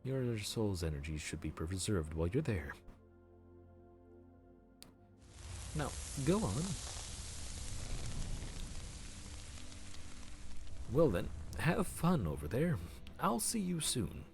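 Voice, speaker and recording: A man's voice: fairly low pitch, very animated, moderate pace, over music. A man voicing a friendly, slightly flustered grim reaper supervisor who talks in an awkward, bantering way. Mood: businesslike. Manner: chatty, bantering and a bit awkward. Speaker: voice actor. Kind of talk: audio roleplay acting.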